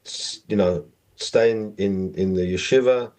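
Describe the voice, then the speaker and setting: A middle-aged man with a South African accent, telling personal stories conversationally as a podcast guest in a halting, meandering way. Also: on the low side, darkish tone.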